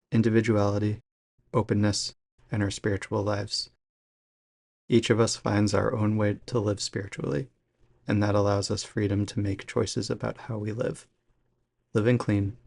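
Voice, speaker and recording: A man reading a daily meditation aloud for a podcast in a measured, even delivery. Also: fairly low pitch, gravelly, dry recording. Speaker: podcast reader.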